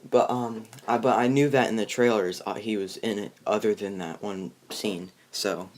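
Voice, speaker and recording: A young man with a fairly low voice hosts an informal movie discussion. He rambles in a halting way, feeling out his thoughts as he goes. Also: steady tone, a little mellow. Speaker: host of a movie review video. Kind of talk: casual chat reviewing a film.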